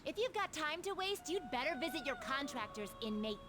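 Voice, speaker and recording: A woman's voice: high-pitched, big pitch swings, fairly quick. A woman playing a bossy young warden in a video game, curt and commanding. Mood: scornful. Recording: music behind the voice.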